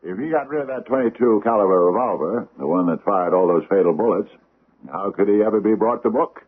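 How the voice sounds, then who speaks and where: Pompous old judge, low n gravelly-husky, old muffled radio show sound. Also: male voice, fairly quick. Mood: grave, measured. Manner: hesitant, formal, ponderous phrasing. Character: aging pompous judge, ponderous, secretive and grumbling. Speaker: actor in radio drama. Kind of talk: old-time radio drama acting. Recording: muffled, band-limited vintage radio recording.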